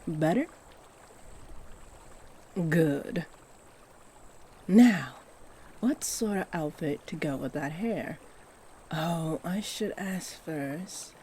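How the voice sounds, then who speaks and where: A woman voicing a kindly fairy in a fantasy roleplay, gently encouraging and fussing over the listener with sweet endearments. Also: low-pitched, lively intonation, extremely slow, pauses often, slight background noise, full character voice. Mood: thoughtful, fussing. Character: kindly, doting fairy who gives magical makeovers. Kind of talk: fantasy roleplay audio drama, addressing the listener.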